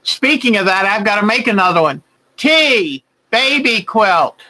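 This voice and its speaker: A woman, hosting a quilting bingo game and calling each clue twice, slowly and deliberately. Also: mid-range voice, animated, bright, forceful tone. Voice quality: big voice.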